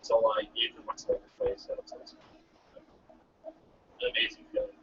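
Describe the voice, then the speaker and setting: Lively man on distant lo-fi call. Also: very high voice, moderate pace. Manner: laid-back, jokey, swears casually. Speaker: podcast host. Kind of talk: casual chat over a call. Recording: distant lo-fi call audio with faint hiss.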